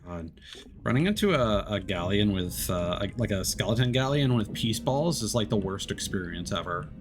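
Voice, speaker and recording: Male streamer, low-ish voice, rambling casual game commentary, music bed underneath. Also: lively intonation, moderate pace. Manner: rambling, self-interrupting, mild swearing.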